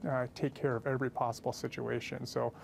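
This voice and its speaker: An American man, a company president, giving unscripted interview answers in a hesitant, halting way. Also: mid-range voice, level tone, vocal fry, a little hiss or hum.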